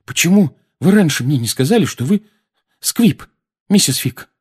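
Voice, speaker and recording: A man's voice: on the high side, very animated, normal speed, darkish tone, slightly rough, voice pushed up. A man voicing an indignant teenage boy in an audiobook, urgent and strained. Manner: urgent, questioning.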